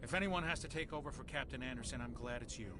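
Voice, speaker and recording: An actor playing a wary, old-fashioned but dutiful navy officer in a sci-fi game, speaking formally and deferentially. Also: male voice, medium pitch, quick pace, noisy background, close to an everyday voice. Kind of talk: scripted sci-fi game dialogue.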